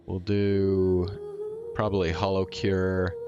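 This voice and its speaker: Man, very low pitch, unhurried pace, musing livestream talk, hesitant, trailing off. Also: monotone, lo-fi sound. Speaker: video game streamer. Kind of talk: casual livestream chat to viewers. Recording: game music behind the voice. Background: video game music.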